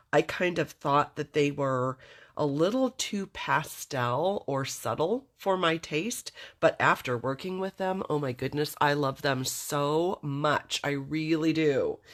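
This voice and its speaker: A woman from Southern California hosting a craft livestream, chatting warmly with viewers and greeting them by name, her nose stuffy from a cold. She talks in a friendly, easygoing way and laughs often between remarks. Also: deep voice.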